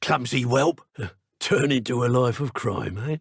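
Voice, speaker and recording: An actor playing a greedy, menacing dark wizard thug in a video game, with a rough, taunting British delivery. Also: male voice, mid-range voice, unhurried, no echo, clean studio sound. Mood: gloating, greedy. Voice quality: gravelly, husky. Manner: taunting, rough colloquial speech. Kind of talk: video game villain voice lines.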